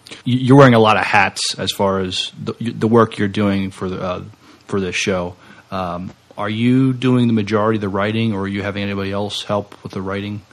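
Lowish-voiced man co-hosting a podcast. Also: fairly even intonation, a little fast. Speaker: podcast co-host, interviewer. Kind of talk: casual interview questions.

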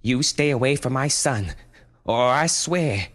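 A man voicing a frantic young mother who stammers and pleads in a high, strained voice. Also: lively intonation, a little slow, fairly bright tone. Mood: defiant.

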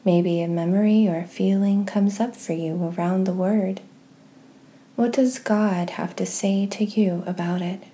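A woman leading a guided meditation and scripture reading in a gentle, soft-spoken, measured way with pauses. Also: mid-range voice, fairly even intonation. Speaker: host of a guided prayer podcast.

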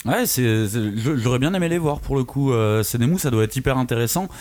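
A man co-hosting a casual podcast, speaking in a thoughtful, measured way. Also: medium pitch, animated, a little mellow. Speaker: podcast co-host. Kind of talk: casual discussion.

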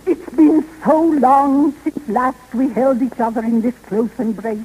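An actress playing a meddling, gushing amateur romance novelist in a 1930s radio comedy, with a theatrical, swooning delivery. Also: female voice, high-pitched, fairly even intonation, a little slow, breathy edge. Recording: tinny, noisy 1930s radio broadcast.

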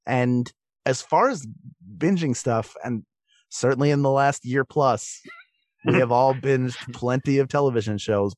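Guy w an average-pitched voice chatting as a podcast guest, very dry sound, repeats words for emphasis. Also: measured pace, darkish tone, no room sound. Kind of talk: casual discussion on a podcast.

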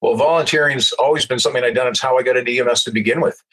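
A man's voice: mid-range voice, racing, a bit bright, rough recording. A man in his sixties with a Canadian accent, telling stories conversationally as an interview guest. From Alberta, Canada. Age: 60s. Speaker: interview guest, retired paramedic. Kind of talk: conversational storytelling.

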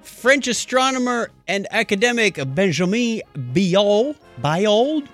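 Lively, higher-pitched male podcast host. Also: slow, faint music behind. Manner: playful, theatrical announcing. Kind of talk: casual comic banter and announcements.

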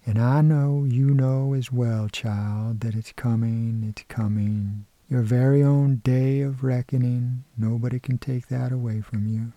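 A man's voice: mid-range voice, fairly even intonation, a little slow, hardly any pauses, mellow, dry recording, close to an everyday voice. A man voicing an elderly, pious great-aunt who rambles tenderly in a sing-song, churchy way at a sick child's bedside. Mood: wistful.

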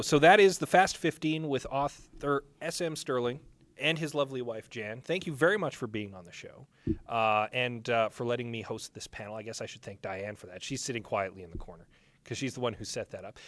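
A man's voice: mid-range voice, a bit bright, no echo. A man hosting a podcast, chatting casually as he interviews a guest at a live panel. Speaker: podcast host. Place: live panel with a small audience.

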